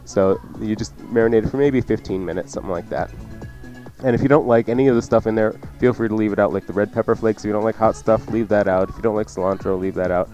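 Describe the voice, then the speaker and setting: Cooking show host, male, low register, chatty and jokey, unscripted. Dull recording with music underneath. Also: fairly even intonation, fast talker, mellow. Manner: chatty, joking asides. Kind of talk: casual unscripted radio cooking instruction.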